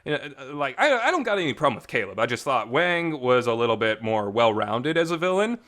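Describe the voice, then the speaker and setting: A man's voice: mid-range voice, big pitch swings, brisk, a bit bright. A man hosting a casual talk show, giving an enthusiastic, informal and emphatic fan review with some reverb on his voice. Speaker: host of a visual novel talk show. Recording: reverberant voice recording.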